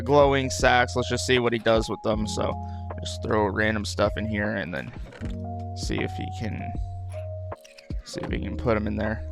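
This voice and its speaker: A man's voice: on the low side, vocal fry. Rambling man doing gameplay commentary over music. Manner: rambling, repeats phrases. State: dry throat, just woke up. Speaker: gaming video host. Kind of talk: casual game commentary.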